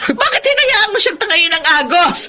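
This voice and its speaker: A woman's voice: very high voice, big pitch swings, normal speed, a crowd in the background. An actress with a Filipino accent playing an anxious, emotional middle-aged mother in a radio drama, pleading and accusing. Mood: grieving, accusing. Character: anxious mother of grown sons, grieving and bitterly accusing.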